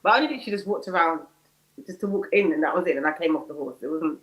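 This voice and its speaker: A woman with a raspy voice, a guest singer telling chatty stories in a casual podcast interview and laughing at herself. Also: low voice, rapid-fire, poor audio. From United Kingdom.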